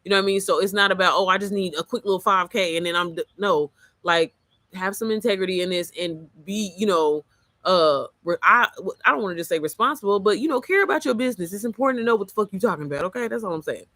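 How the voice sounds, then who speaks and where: A woman's voice: quick pace, a bit bright, no room sound. Chatty woman coach with a manly voice. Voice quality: low, manly-sounding voice. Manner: chatty, profane, calls the viewer girl and baby. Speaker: online business coach. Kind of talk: casual screen-share walkthrough.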